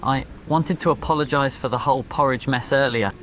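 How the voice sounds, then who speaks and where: A man's voice: mid-range voice, brisk, fairly bright tone, a little hiss or hum, close to an everyday voice. A man voicing a proud, awkwardly smitten teenage wizard schoolboy in an audio roleplay, with a muttering, hesitant manner. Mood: sheepish.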